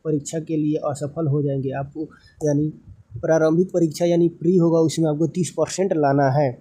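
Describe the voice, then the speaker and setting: A man presenting an explainer, reading official text aloud haltingly and repeating points in informal paraphrase. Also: on the high side, warm tone, husky. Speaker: presenter explaining a recruitment notice.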